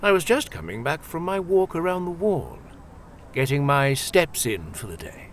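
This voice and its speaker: A man playing a composed, perceptive senior churchman in an audio drama, courteous and deliberate. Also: a little high, sing-song, fairly bright tone, a little hiss or hum. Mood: genial. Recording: faint background ambience.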